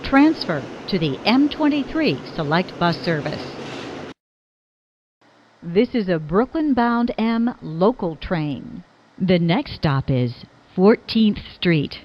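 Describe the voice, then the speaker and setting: A woman's voice: fairly low pitch, big pitch swings, slow, a little mellow, lo-fi sound, slight background noise. A woman reading scripted subway announcements in formal, clipped phrases that sound pieced together.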